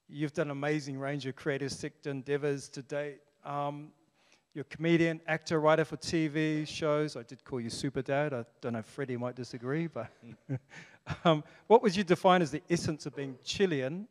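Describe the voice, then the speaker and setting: An older man moderating a panel on stage in a large formal hall, upbeat and playful, speaking into a handheld mic. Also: fairly high pitch. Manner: upbeat, playful, uses Maori greetings. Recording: long hall reverb.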